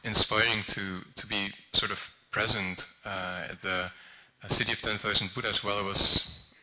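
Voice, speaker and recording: A young man, his tone bright and edgy. He sits a touch low in pitch and talks haltingly, full of ums and self-corrections, as though thinking aloud in front of a room. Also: flat delivery, rough recording. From Netherlands. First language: Dutch. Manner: hesitant, many ums and restarts. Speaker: volunteer translator speaking at an event. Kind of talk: informal public talk.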